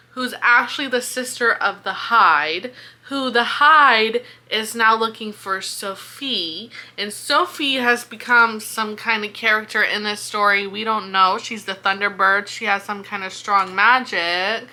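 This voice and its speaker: A young woman chatting casually about a book she is reading along with, animated and exasperated, swearing as she thinks aloud. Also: medium pitch, measured pace.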